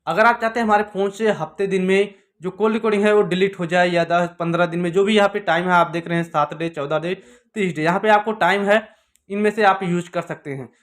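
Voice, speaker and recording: A man's voice: high voice, fairly even intonation, fairly quick. A man presents a phone tutorial. He explains step by step, restating each instruction in a repetitive pattern.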